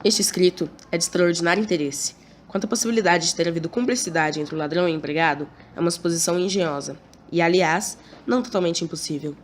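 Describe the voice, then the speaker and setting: A Brazilian woman voicing a sharp-minded male detective in a student radio drama, reasoning in a measured, deliberate way. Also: on the low side, natural voice. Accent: Brazilian Portuguese. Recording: roomy room with clear hiss.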